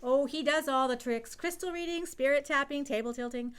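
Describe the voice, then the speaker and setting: A woman's voice: sits high, a little fast, lightly acted. An actress playing an eager, breezy, slangy girl newspaper photographer in a radio drama. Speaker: radio play actor. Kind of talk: radio drama acting in vintage newsroom style.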